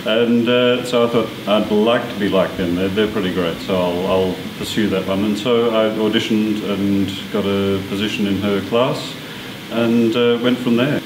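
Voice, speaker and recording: Lower-voiced Australian man, distant and echoey. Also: normal speed. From Sydney, Australia. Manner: chatty, animated, many restarts. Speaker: orchestral piccolo player. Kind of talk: casual interview. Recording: distant echoey mic with air-conditioning hum.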